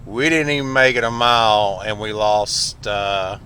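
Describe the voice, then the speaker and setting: Slow-talking man with clear background noise. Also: lowish voice, sharp tone. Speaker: vlog host, hunter. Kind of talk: casual vlog narration to camera. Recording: noisy outdoor and vehicle background.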